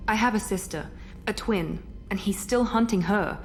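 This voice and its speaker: An actress playing a proud, guarded female operative in a video game, crisp, formal and controlled. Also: mid-range voice, moderate pace, slight background noise, lightly acted, rough recording. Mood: guarded, serious. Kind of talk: video game character acting. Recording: game audio with faint ship ambience.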